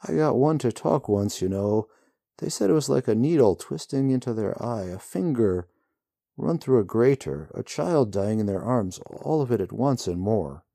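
A man doing a cheery folksy woman rider, high and sing-song, gravelly edge, chatty drawl. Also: expressive, normal speed, dark tone, no room sound, clean studio sound. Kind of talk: storybook reading.

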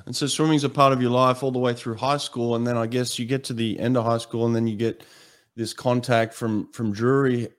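A man's voice: mid-range voice, little pitch movement, a little fast. Easygoing male interviewer from Australia. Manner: easygoing, asks long rambling questions. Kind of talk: casual interview.

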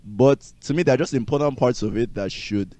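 A man co-hosting a casual debate chat, talking rapidly in slang and pushing back on his friend's points. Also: mid-range voice, dark tone. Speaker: podcast co-host.

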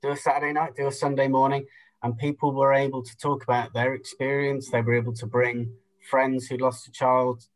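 Male podcast guest, medium-pitched, reflective and thoughtful, feeling his way through each sentence. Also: level tone, fairly quick, poor audio. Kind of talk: reflective conversation.

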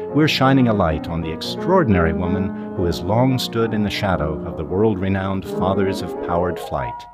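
An older man hosting a podcast, giving scripted documentary narration in a measured, emphatic storytelling manner. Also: low voice. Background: music.

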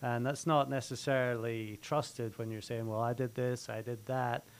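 Steady explanatory male co-host. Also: mid-range voice, moderate pace, clean tone. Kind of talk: casual interview chat.

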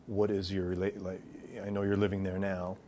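A man hosting an interview podcast, asking halting questions that he restarts midway. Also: deep voice, monotone, warm tone, a little hiss or hum. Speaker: podcast host.

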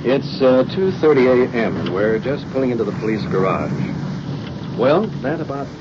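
A man's voice: mid-range voice, big pitch swings, normal speed, background noise. A man reporting on the scene as a police recorder, conversational and a little hesitant. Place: police office and garage. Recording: muffled, echoey old field radio recording.

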